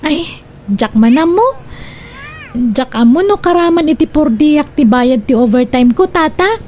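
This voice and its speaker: A woman's voice: high voice, expressive, a little slow, mellow, slight background noise. A woman playing a meek, obliging laundrywoman in a radio drama, deferential, with an Ilocano accent. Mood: patient, dutiful. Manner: deferential, accommodating. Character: meek, obliging poor laundrywoman, a dutiful daughter. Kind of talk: Filipino radio drama acting.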